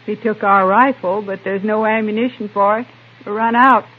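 An actress playing a hard, stoic frontier homestead wife with husky, folksy, clipped speech in an old radio western. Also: female voice, mid-range voice, steady tone, moderate pace, lightly acted. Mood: bitter, stoic. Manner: blunt, folksy, clipped phrases. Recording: narrow-band vintage radio recording.

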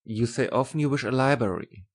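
A man's voice: mid-range voice, brisk, slightly rough, natural voice. A man playing a kindly, earnest German professor in an audiobook, with foreign, unidiomatic phrasing. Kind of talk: dramatised audiobook reading.

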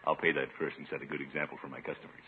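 An American actor playing a pompous, good-humored middle-aged uncle in a blustery, grumbling 1950s radio comedy style. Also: male voice, very deep voice, flat delivery, very fast. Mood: grumbling, exasperated. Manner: blustery, chuckling, grumbling to himself. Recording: tinny, noisy 1950s radio recording.

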